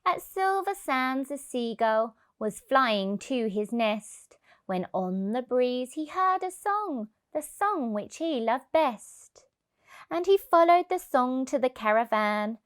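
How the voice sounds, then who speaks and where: A woman reads a rhyming picture book for young children. Her voice is fairly high and very lively in pitch, and she takes it slowly, in an expressive storytelling style. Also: clear, resonant tone.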